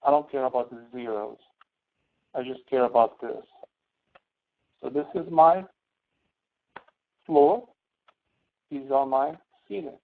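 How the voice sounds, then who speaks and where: Phone-line male instructor with creaky voice. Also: medium pitch, fairly even intonation, takes it slowly, pauses often. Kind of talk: tutorial walkthrough over screen share. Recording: tinny phone-like online meeting audio.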